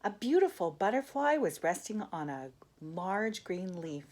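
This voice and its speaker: Animated older woman reading to children. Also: mid-range voice, unhurried. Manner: expressive, asks the listeners questions. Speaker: grandmother reading children's books aloud. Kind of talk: animated read-aloud storytelling for children.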